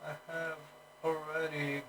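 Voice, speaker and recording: Brazilian guy w/ bright higher voice, rambly stream chat, faint hum behind. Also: monotone, takes it slowly. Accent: Brazilian Portuguese. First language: Portuguese. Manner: rambling, self-correcting, many fillers. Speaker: streamer and English teacher. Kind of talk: casual solo chat.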